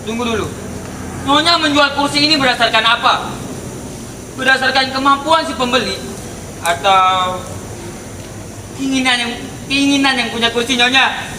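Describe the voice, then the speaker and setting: A young man playing a sly, courteous, persistent gentleman in a stage drama. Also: very high-pitched, moderate pace, long pauses. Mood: sly bargaining. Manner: courteous, teasing, insistent. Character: sly, polite, persistent gentleman pestering a married lady. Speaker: student stage actor. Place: outdoor car park stage. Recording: distant echoey stage recording with loud background noise.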